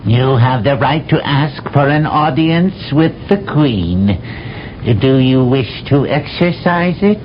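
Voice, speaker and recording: A man's voice: medium pitch, lively intonation, takes it slowly, slight background noise. An actor playing a stern, hostile male alien colonel who speaks in curt, commanding sentences in a 1970s radio drama. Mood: suspicious. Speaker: military officer. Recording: dull old radio broadcast.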